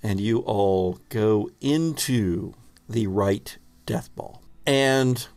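Slow, creaky-voiced man as game master. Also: fairly low pitch, a little mellow, no room sound. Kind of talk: improvised tabletop game narration.

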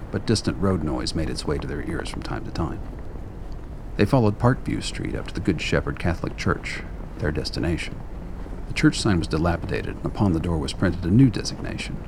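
A man's voice: low voice, lively intonation, normal speed. A man reading scripted narration for a radio play, telling the story as its narrator. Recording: clear background ambience noise.

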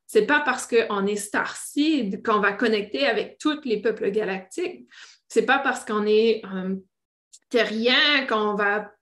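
A woman's voice: fairly high pitch, takes it slowly, fairly bright tone. A woman with a French Canadian accent talking off the cuff to online viewers as a spiritual teacher, often searching for words and repeating phrases. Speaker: spiritual teacher hosting a live stream. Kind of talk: unscripted live talk to online viewers.

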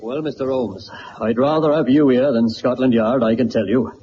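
An actor playing a folksy, deferential British police sergeant, chatting confidingly in a radio drama. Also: male voice, mid-range voice, moderate pace, close to an everyday voice. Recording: dull, noisy old radio drama recording.

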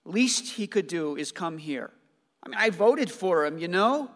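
An actor playing a stubborn, wounded husband with childlike hope and an insistent manner, in a radio play. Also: male voice, sits high, animated, a little slow. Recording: long hall-like reverb.

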